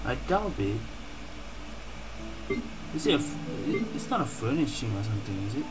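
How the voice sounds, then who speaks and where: Casual gamer commenting over loud music. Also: medium pitch, big pitch swings, normal speed, low-quality recording. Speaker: gaming streamer.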